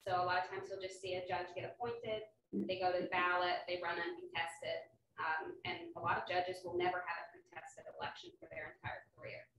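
A young woman speaks softly and plainly, filling her explanation with frequent ums. She sounds far from the microphone. The room gives her voice a clear echo, with a faint hiss behind. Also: on the low side, flat delivery, a little fast. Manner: soft-spoken, many ums, explaining plainly. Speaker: circuit court judge, guest speaker. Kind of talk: informal talk to a meeting. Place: hotel dining room, indoors. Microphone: far room camera.

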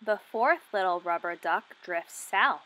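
Young lady doing storytime for little kids, very singsong, slow, bit of background noise. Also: medium pitch. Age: 20s. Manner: animated, questioning, deliberate enunciation for children. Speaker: children's teacher reading aloud. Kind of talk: picture-book read-aloud for young children.

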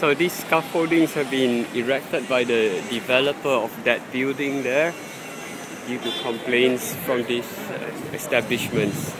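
A man giving halting, unscripted commentary as he films outdoors on a handheld device in a busy city street. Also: medium pitch. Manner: halting, searching for words. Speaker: amateur video maker filming a street scene. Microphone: handheld phone or camera, held by the speaker. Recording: noisy busy city street, traffic and crowd.

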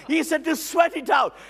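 A middle-aged man preaching a lively sermon as a guest on a church stage, loud and excitable, with frequent amens and hallelujahs. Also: extremely high pitch, creaky. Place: church stage with band instruments.

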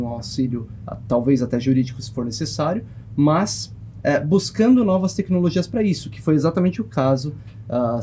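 A Brazilian man in his thirties explaining his points in a measured, slightly hesitant way during a casual panel discussion. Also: medium pitch, warm tone, slight background noise. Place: small padded podcast studio. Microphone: close boom-arm studio microphone.

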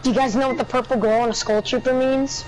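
A woman's voice: sits high, steady tone, fast talker, a little hiss or hum, poor audio. A woman gamer talking in casual voice chat during a match, teasing and sarcastic with her teammates. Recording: game music loud behind voice.

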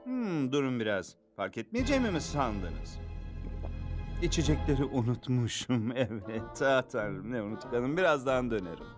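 A man's voice: mid-range voice, very animated, normal speed, lightly acted. A man voicing a doting, oblivious scientist father, genial and theatrical, in a cartoon dub. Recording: music behind the voice.

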